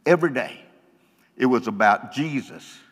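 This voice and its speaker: A man's voice: mid-range voice, lively intonation, moderate pace, breathy edge. A man with a stutter giving a folksy, joking testimony to a church congregation. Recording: long hall reverb.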